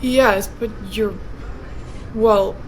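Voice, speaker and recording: A woman's voice: medium pitch, fairly even intonation, extremely slow, slight background noise, low-quality recording. A woman acting a nervous airline check-in clerk in a script reading, hesitant and easily flustered. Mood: flustered, doubtful.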